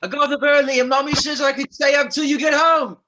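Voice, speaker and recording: An actor playing an excited five-year-old boy in a table read of a TV drama. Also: high voice, brisk, very noisy, natural voice. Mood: excited, eager.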